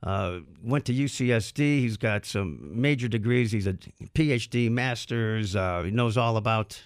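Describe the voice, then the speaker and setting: Low-voiced talk radio host with a cold. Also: male voice, clean tone, no room sound, clean studio sound. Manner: halting, many fillers and restarts. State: getting over a head cold, slightly raspy. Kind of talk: live talk radio hosting. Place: radio studio. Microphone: studio microphone.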